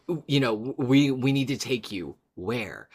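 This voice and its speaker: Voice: mid-range voice, animated, unhurried, breathy edge. A podcast co-host who talks about a story in an emphatic, dramatic way, as a fan of it. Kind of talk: scripted recap, then animated fan discussion.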